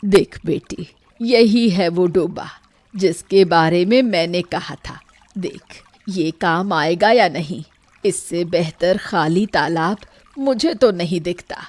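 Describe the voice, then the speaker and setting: A woman playing a caring, practical old village aunt in a Hindi animated moral story, speaking in a measured, plain way. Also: fairly low pitch, no echo.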